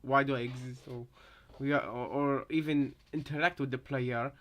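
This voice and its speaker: A man's voice: medium pitch, fairly even intonation, normal speed. A man giving casual reaction commentary, hesitant and repeating himself as he thinks aloud. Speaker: reactor, fan theorist.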